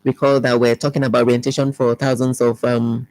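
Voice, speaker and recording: A speaker, answering interview questions as an education official, measured and full of ums and restarts. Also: medium pitch, flat delivery, a little mellow, no room sound, poor audio.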